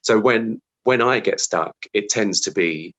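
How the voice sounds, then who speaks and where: A man's voice: lowish voice, unhurried, fairly bright tone. A man talking casually as an interview guest about his craft, thinking aloud and restarting his phrases as he goes.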